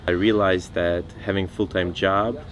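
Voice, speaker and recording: A man from Siberia in Russia, introducing himself in a measured, matter-of-fact way. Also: low voice, fairly even intonation. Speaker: mastermind participant. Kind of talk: casual self-introduction.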